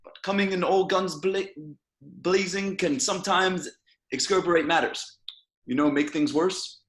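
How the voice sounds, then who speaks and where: Jovial police chief, bright and roomy, acted by a man. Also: high-pitched, unhurried. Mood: genial, cajoling. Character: jovial senior village police chief, fatherly and cajoling. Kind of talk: amateur screenplay table read. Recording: roomy home microphone sound.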